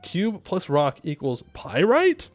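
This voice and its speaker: Animated higher-pitched man streaming games. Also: takes it slowly, dry recording, faint music behind. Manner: playful, joking, repeats phrases. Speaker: game streamer. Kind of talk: casual livestream chat, reading and answering viewer comments.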